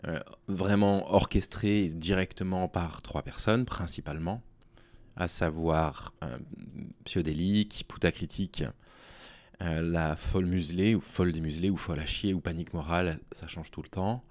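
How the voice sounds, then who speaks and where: A man speaking informally to his online audience in a halting, hesitant way, with a worn-out, small, tired voice. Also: low-pitched, fairly even intonation, a little mellow, dry recording. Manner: halting, hesitant delivery with long pauses. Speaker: online video creator. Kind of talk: informal talking to audience, explaining personal situation.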